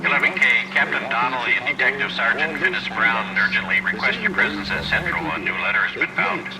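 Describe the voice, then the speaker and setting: An actor playing a brisk, official police dispatcher in a game, heard over a car radio. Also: male voice, medium pitch, animated. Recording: car radio under loud engine noise.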